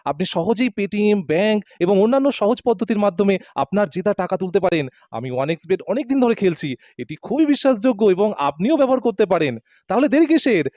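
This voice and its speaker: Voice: mid-range voice, lively intonation, quick pace, mellow, no room sound, clean studio sound. A presenter reading a scripted advertisement. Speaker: promo presenter.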